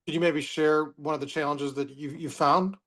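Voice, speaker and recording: A man's voice: a little high, fairly even intonation, moderate pace, a bit bright. A man working as a sports reporter, asking informal interview questions at a press session.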